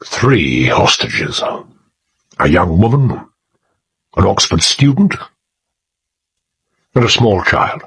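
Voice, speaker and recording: A man playing a grave, urgent British intelligence chief in a period audio drama, speaking in a measured, emphatic way with a husky voice. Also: fairly low pitch, sing-song, a bit bright, heavily acted, poor audio. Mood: grim.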